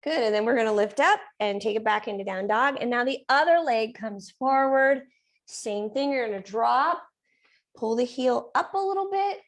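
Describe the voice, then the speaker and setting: A woman teaching a yoga class and guiding poses step by step. She is losing her voice and keeps clearing her throat. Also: on the high side, lively intonation, moderate pace. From Reno area, United States. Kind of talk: guided yoga class instruction.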